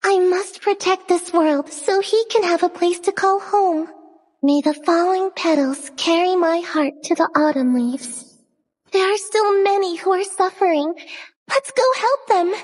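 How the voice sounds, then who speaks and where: Very high-pitched girlish shrine guardian spirit. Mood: tender, wistful. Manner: earnest, poised, lyrical declarations. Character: gentle young female shrine guardian spirit, solemn and devoted. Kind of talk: video game character voice lines. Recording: long reverb on studio voice lines.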